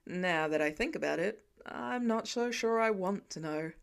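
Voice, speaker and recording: Woman voicing a deadpan, sarcastic man, pitched down. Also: normal speed, gravelly. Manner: dry, flat, deadpan. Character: deadpan sarcastic grown man, doting father superhero.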